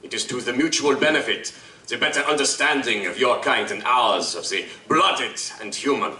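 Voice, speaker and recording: A man's voice: medium pitch, normal speed, sharp tone. An actor playing a suave, courtly male vampire liaison who speaks in formal, elaborate phrases, recorded live on stage. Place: live stage performance at a convention. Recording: roomy live stage from a distance.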